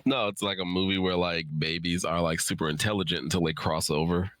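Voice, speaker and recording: A man with a low-pitched voice chats casually as a guest on a livestream. The sound is very dry, with no room echo around him. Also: steady tone, brisk, a bit bright. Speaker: writer, guest.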